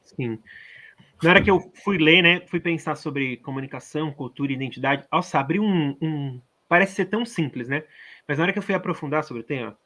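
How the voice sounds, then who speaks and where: Brazilian man, radio co-host, bright voice, slightly high, chatty. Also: fairly quick. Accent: Brazilian Portuguese. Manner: chatty, warm-hearted, uses many tag questions. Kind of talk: casual radio chat. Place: radio studio.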